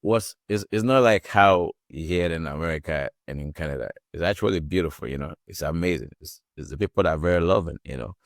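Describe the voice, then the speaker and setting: Deep-voiced man telling stories. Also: fast, hardly any pauses, dry recording, studio quality. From Ghana. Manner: rambling, relaxed storytelling with frequent "you know". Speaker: guest author. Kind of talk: casual interview.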